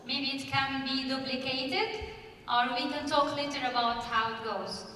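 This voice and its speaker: A woman's voice: high-pitched, a little slow, slight background noise. A woman speaking on a conference panel stage into a handheld mic, putting questions to the audience. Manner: asks the audience questions, lively. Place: large conference hall stage. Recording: echoey hall from far off.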